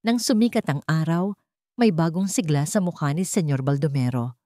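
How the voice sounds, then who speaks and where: A woman's voice: deep voice, normal speed, dark tone, no echo, studio quality. A woman reading a scripted, dramatic story as its narrator.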